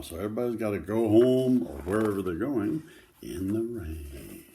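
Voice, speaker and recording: A man's voice: medium pitch, dark tone. An older man reads a children's book aloud, breaking off for folksy, casual asides that explain the pictures to young listeners.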